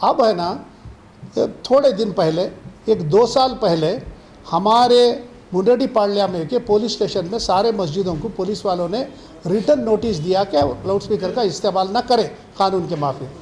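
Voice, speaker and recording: A man's voice: high voice, a little mellow. A man giving a formal, explanatory press statement as an official spokesman. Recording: clearly noisy background.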